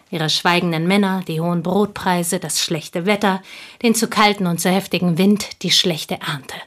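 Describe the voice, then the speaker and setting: An actress playing a sober provincial woman, a devoted childhood confidante, in a German radio drama, speaking in a measured, wistful way. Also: medium pitch, fairly bright tone. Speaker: actor in a radio play. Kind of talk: German radio drama acting, reflective storytelling.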